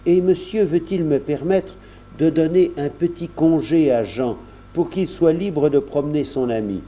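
A man's voice: a little high, a little slow, mellow, voice pushed up, close to an everyday voice. A man voicing a kindly, obliging manservant who speaks with courteous deference in a storybook reading. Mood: respectful request.

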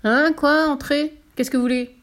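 A woman's voice: on the high side, a little fast, natural voice. A woman voicing a blustering, wily male circus director in a children's story, with brusque interjections. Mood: gruff surprise.

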